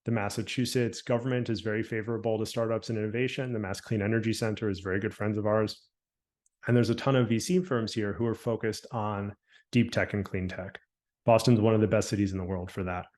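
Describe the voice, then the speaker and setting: A man's voice: lowish voice, steady tone, a little mellow. Man presenting on a video call. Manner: brisk listing, slowing on names. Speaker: program staff presenting.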